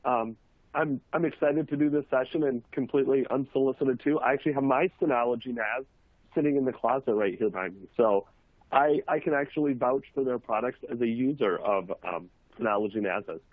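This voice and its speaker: Hoarse man, webinar presenter, casual with fillers, tinny phone-like sound, dry. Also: medium pitch, fairly quick, dry recording. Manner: relaxed, conversational, with frequent fillers. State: hoarse from a cold. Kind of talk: webinar talk with slides.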